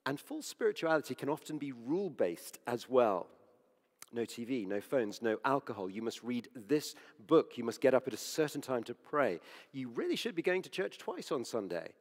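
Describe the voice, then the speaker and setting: A man with a croaky, hoarse voice preaching a sermon in a church, earnest and conversational, with fillers and self-corrections. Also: mid-range voice, sing-song, moderate pace, big-room sound. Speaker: preacher on the church leadership team.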